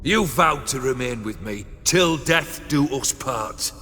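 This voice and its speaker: Cold, forceful nobleman, cavernous reverb. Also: medium pitch, animated, slow. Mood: cold, menacing. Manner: commanding, menacing. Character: cold, imperious nobleman husband, ruthless and bitter. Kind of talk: dramatic video game cutscene acting. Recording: long reverb, faint music behind.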